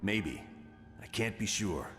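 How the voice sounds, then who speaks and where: An actor playing a stern, seasoned colonel in a video game, measured, matter-of-fact and authoritative. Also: male voice, on the low side, expressive, fairly bright tone, faint music behind. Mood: grave. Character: stern, seasoned male military colonel briefing his team. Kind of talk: video game cutscene acting.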